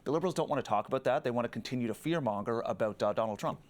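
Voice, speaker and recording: Quick-talking male strategist. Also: mid-range voice. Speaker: political strategist, panelist. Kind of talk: conversational political analysis.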